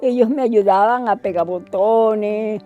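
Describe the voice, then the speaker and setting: An older woman speaking with quiet pride about her life and home. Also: medium pitch, unhurried, dull audio, soft background music. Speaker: documentary interviewee. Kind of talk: interview testimony.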